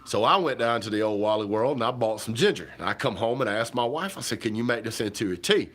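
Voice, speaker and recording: A man, chatting casually to viewers on a livestream while stuffy and hoarse from a cold. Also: medium pitch, lively intonation, quick pace, fairly bright tone. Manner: rambling, folksy, talks to viewers directly. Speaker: livestream host. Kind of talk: casual livestream chat about football. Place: home kitchen.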